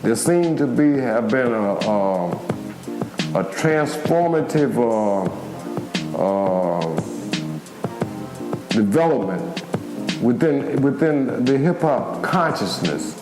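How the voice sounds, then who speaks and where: An older man with an American accent reflects in a documentary interview, speaking haltingly, repeating himself and searching for words. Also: medium pitch, expressive, echoey room, over music. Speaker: former activist giving a firsthand account.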